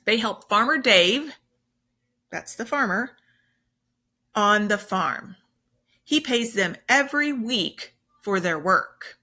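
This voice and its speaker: Slow, lively woman reading to children. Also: mid-range voice. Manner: emphatic, simple explaining for children. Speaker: teacher. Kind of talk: children's story read-aloud with explanations.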